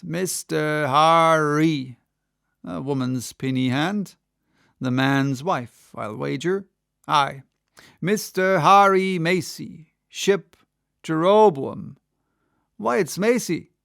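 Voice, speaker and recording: A man voicing a stern, commanding old sea captain with a gruff, imperious manner and archaic speech in an audiobook. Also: a little high, takes it slowly, a bit bright, no room sound, studio quality, full character voice. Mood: muttering.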